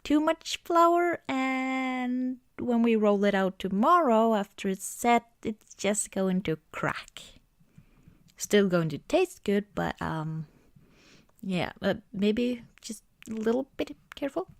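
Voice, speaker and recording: Female ASMR performer, intimate close-mic roleplay, soft half-whispered delivery, leisurely pace, no room sound. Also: on the high side, lively intonation, no echo. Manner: gentle, unhurried, often dropping into whispers. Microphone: close microphone, dry sound.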